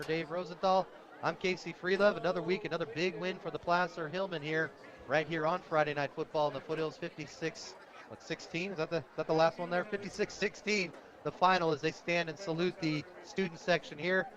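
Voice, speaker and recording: A man with a fairly high voice works as a radio play-by-play announcer for high school football. His broadcast sounds a little dull, with a clearly audible stadium crowd behind him. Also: fairly even intonation, brisk. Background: stadium crowd noise.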